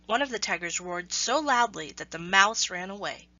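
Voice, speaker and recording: A woman reads a children's story aloud in scripted narration, with expressive, deliberate phrasing. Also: medium pitch, no echo, muffled recording.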